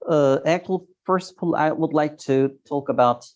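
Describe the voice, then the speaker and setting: A man in his thirties with a Turkish accent, a guest on a video call interview, talking haltingly and searching for words. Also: on the high side, darkish tone. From Turkey. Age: 30s. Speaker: guest, software tester. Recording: dull lo-fi video call audio.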